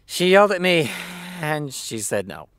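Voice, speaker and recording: High-voiced, sarcastic showrunner. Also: male voice, very animated, measured pace, fairly bright tone, clear, resonant tone, no echo. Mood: sheepish, weary. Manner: sarcastic, theatrical ranting. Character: flippant, sleepless hard-drinking TV showrunner, exasperated and rambling. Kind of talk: naturalistic audio drama dialogue.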